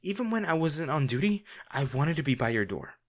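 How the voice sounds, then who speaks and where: A man playing a devoted, remorseful bodyguard to a noble lady in a fantasy audio drama, speaking in a formal, halting, earnest way. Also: on the high side, big pitch swings. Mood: impassioned, protective.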